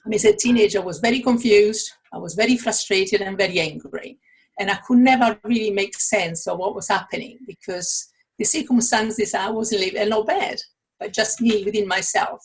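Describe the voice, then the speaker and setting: A woman's voice: mid-range voice, slightly rough, rough recording. A middle-aged woman from Italy with an Italian accent, answering interview questions as an author and coach, thoughtful, with many fillers and restarts.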